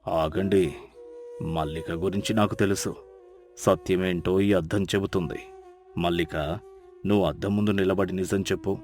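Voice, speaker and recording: A man playing a wise, stern village elder in a storybook tale, speaking with measured authority. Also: low voice, steady tone, darkish tone, faint music behind, close to an everyday voice. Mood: firm. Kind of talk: dramatised storybook dialogue.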